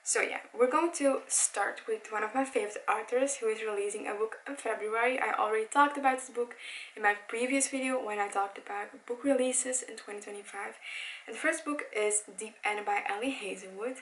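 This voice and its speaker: A woman's voice: fairly high pitch, a little fast, fairly bright tone, poor audio. A woman in her early twenties, a native Dutch speaker, chatting casually and eagerly about books for her channel, often correcting herself as she talks. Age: 20s. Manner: chatty, enthusiastic, with frequent self-corrections and "like". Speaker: book vlogger. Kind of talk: casual chat. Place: home room by a bookshelf.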